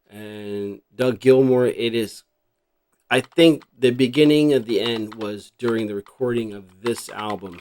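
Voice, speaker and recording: A man's voice: medium pitch, background noise. A middle-aged American man from New Jersey talking casually and reflectively as a vlog host, rambling and thinking aloud without a script. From New Jersey, United States. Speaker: host showing a cassette collection. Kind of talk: casual unscripted vlog chat.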